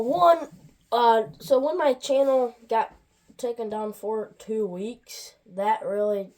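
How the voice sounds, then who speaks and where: A woman with a stuffy nose talks through a toy review in a loose, wandering way, full of asides and restarts. Her voice sits in a mellow, darker register, and her pitch stays in the middle for a woman. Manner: rambling, with many asides and restarts. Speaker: farm toy channel host.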